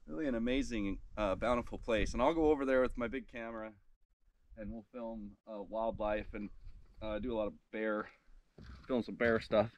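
Relaxed man, slightly hoarse, vlogging outdoors. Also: on the low side, normal speed, low-quality recording. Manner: relaxed, upbeat, many fillers. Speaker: sawmill owner vlogging his workday. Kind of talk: casual outdoor vlog. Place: outdoors on a boat and dock by a calm bay. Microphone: action camera held at arm's length.

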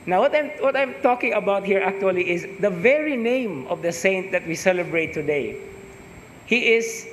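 A middle-aged man with a Cebuano Filipino accent gives a reflective homily, his voice pitched high for a man. He speaks through a face mask into an altar stand mic, often pausing with a questioning "no?". The large church gives a long, roomy reverb under a faint hiss. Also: fairly bright tone. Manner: reflective, mixes English with Cebuano, tag "no?" after points. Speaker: priest. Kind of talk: homily at Mass. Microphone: stand mic in front, wearing a face mask.

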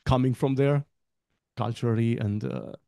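A man's voice: mid-range voice, fairly even intonation, normal speed, gravelly, dark tone, clean tone, no echo, clean studio sound. A man in his thirties from Greece, talking casually as a guest trainer in a webinar, rambling a little and laughing between phrases. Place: home room, on a video call. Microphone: headset with desk mic.

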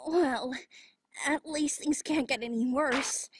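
A woman voicing an excitable, foul-mouthed youngster in a fan parody anime dub, exclamatory and sweary. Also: high voice, slow, heavy background noise. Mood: dejected. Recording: loud music behind the voice.

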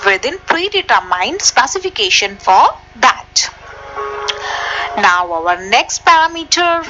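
Woman instructor, lively higher voice, slow deliberate pace, voice-over, music underneath. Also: highs cut off. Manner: addresses listeners directly, step by step. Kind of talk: slide-based technical lesson. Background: background music.

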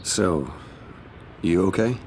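An actor playing a troubled, stoic army squad leader in a game, speaking in a subdued, halting manner. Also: male voice, low-pitched, expressive, vocal fry, darkish tone, a little hiss or hum, heavily acted. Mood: subdued, guilty. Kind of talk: dramatic game cutscene acting. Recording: music behind the dialogue.

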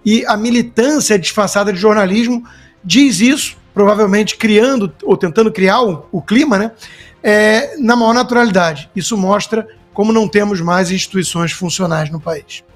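A man's voice: high voice, moderate pace, soft background music. A man with a Brazilian accent giving indignant, sarcastic news commentary. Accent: Brazilian Portuguese.

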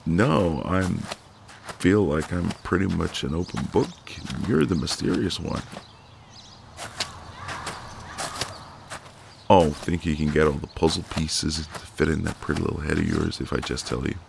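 A man playing a flirty, cocky but gentle ex-marine neighbour in an intimate ASMR roleplay, teasing in a folksy way. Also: very deep voice, moderate pace, vocal fry, background noise.

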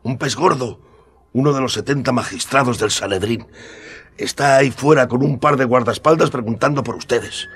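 A husky innkeeper from a radio play, hushed and urgent, middle pitch. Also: male voice, normal speed. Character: hushed male innkeeper, warning. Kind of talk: radio drama acting.

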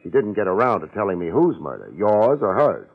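A hard-boiled radio detective of the 1950s. His voice is deep and husky, with terse, sardonic delivery. The vintage recording is muffled. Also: sing-song, a little fast, heavily acted. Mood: probing, sardonic. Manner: sardonic, terse, clipped sentences. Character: hard-boiled wisecracking male private detective. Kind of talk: 1950s radio detective drama acting and narration.

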